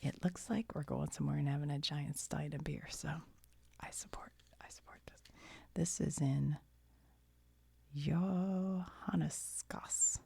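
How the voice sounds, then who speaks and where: A woman with an American accent murmurs in a low-pitched, heavily creaky whisper. Her breath roughens every phrase and blurs the tone. She chats casually and reads aloud as if thinking out loud for an ASMR viewer. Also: fairly even intonation, moderate pace, no room sound. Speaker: host of a whispered show-and-tell video. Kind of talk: ASMR, whispered show-and-tell with reading aloud.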